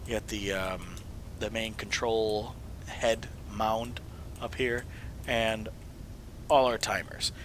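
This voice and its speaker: A man with a cold talking casually through his builds in a game, his voice hoarse and sometimes fading to a whisper, rambling and correcting himself as he goes. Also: lowish voice, steady tone, slow, long pauses, noisy background. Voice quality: hoarse, dropping to a whisper at times. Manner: rambling, self-correcting, jokey. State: voice mostly gone from illness, sniffling. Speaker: video host showing game builds. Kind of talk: casual gameplay walkthrough.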